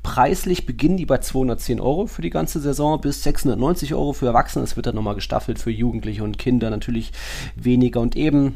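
A man's voice: medium pitch, vocal fry, dark tone. A man co-hosting a football podcast in a casual, colloquial way with chuckling asides. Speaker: podcast host.

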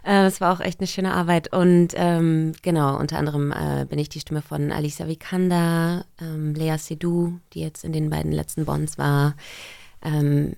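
A woman in her thirties talks easily and warmly about her voice work as an interview guest. Her voice is low with a gravelly edge. The sound is dry and close. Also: flat delivery, normal speed, no echo. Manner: friendly, chatty, laughs easily. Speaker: voice actress, guest. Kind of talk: casual interview.

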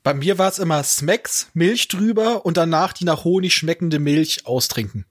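A man with a slightly raised pitch joins a podcast as a guest. He talks in an informal, conversational style. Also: expressive, moderate pace. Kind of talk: casual chat.

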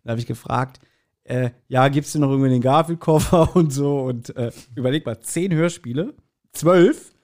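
A man's voice: medium pitch, normal speed, darkish tone. A man, chatting casually and telling nostalgic anecdotes in a blunt, joking way as a podcast host.

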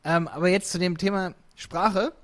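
A young man chatting casually as a podcast co-host, lively and playfully acting out quotes in other voices. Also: high voice, normal speed. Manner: animated, acts out quotes with funny voices. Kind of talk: casual chat.

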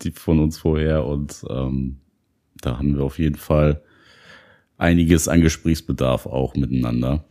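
Very deep, dark-voiced man cohost. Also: fairly even intonation, a little slow. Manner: dry joking quips, reflective. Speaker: podcast co-host. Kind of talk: casual chat.

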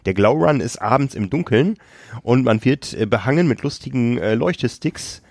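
A man's voice: medium pitch, lively intonation, normal speed, a little mellow. A chatty man co-hosts a podcast from a hotel room, spinning loose stories and laughing along. He has a slight cough and sounds a bit under the weather. The recording is dry and close, with no room echo. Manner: chatty storyteller, laughs often. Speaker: podcast co-host. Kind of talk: casual chat. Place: hotel on a tropical island. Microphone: remote call.

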